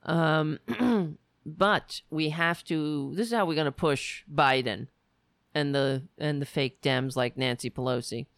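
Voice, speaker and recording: A woman with a raspy, tired voice hosts a political livestream, heated and sarcastic. She talks slowly in a lowish voice that leaps up and down. The sound is dry and close. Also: fairly bright tone, no echo. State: raspy, worn-out voice. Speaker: livestream show host. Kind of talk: political rant and chat reading.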